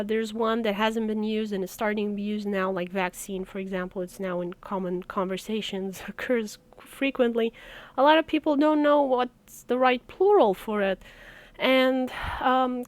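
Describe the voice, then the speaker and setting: A woman's voice: a little high, no echo. Chatty woman, dry close mic. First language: Romanian. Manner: chatty, laughs often, wry. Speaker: language tutorial host. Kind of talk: casual explainer video.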